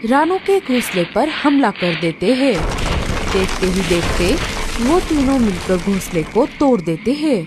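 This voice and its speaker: A woman's voice: on the high side, big pitch swings, measured pace. A woman narrating a scripted children's story, recorded with noise behind.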